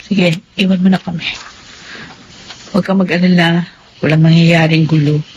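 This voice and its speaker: A woman with a Filipino accent playing a grieving, earnest elder sister in a radio drama, speaking haltingly and tearfully. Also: on the low side, steady tone, darkish tone, highs cut off, slight background noise, close to an everyday voice. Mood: grave, subdued. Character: grieving eldest sister, bereaved mother, pleading and forgiving.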